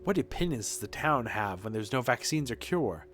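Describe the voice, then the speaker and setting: A man's voice: medium pitch, sing-song, moderate pace, a bit bright. A man voicing a blunt, self-assured doctor in a video game, with pointed and formal questioning. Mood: challenging. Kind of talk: dramatic reading of game dialogue. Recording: game music behind the voice.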